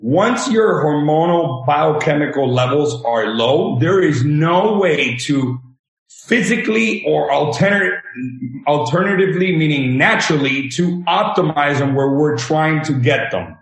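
A man's voice: on the high side, animated, unhurried. A man in his thirties, from Venezuela, earnestly explaining his health practice as a guest. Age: 30s. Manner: explanatory, earnest, leans on examples. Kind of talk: interview answers. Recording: roomy echo.

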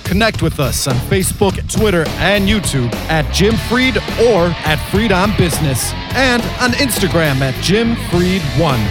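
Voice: mid-range voice, animated, moderate pace, hardly any pauses. An announcer reading a scripted radio show bumper. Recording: studio voice over guitar music bed.

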